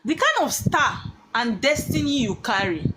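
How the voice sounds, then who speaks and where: A woman taking callers as a spiritual consultant, talking in an animated way and calling people darling. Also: sits high, measured pace. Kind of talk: live call-in chat. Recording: noisy line with clicks.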